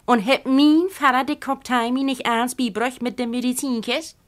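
A woman voicing a resolute, proud old aunt in a story, commanding and brisk, with a Northern German Low German accent. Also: steady tone, natural voice. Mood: brisk, scornful.

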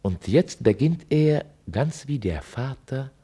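An actor as a wry, musing male narrator of a radio play, with a husky, mellow voice. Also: medium pitch, expressive, slow pace.

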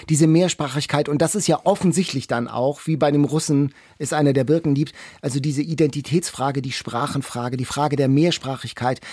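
Guy cohost, a bit higher voice, dry sound, excited intro. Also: dry recording. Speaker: podcast co-host. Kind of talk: introducing the guest and her work.

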